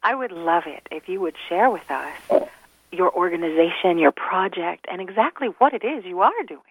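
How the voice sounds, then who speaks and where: A woman's voice: lowish voice, lively intonation, normal speed, husky, no echo, rough recording. A woman hosting an interview, effusive and formal in her thanks and greetings. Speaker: host.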